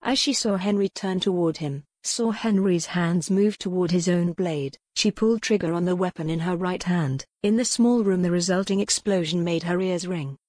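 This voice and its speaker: Lowish-voiced woman narrating, very dry. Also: normal speed, few pauses, darkish tone, no room sound. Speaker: audiobook narrator. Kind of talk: scripted dramatic narration of historical romance.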